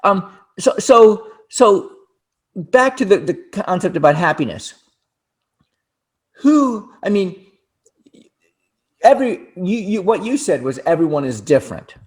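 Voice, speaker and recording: An older man co-hosting a relaxed conversation, talking in halting bursts with frequent restarts and repeated words. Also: high voice. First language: English. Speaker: co-host. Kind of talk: casual chat.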